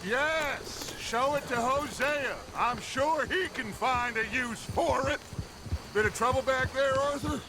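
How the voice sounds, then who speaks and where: An actor playing a charismatic, boastful, aging Wild West gang leader in a video game, with a grandiose, theatrical delivery. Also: male voice, extremely high pitch, slow pace, noisy background. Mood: scheming. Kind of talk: video game drama acting.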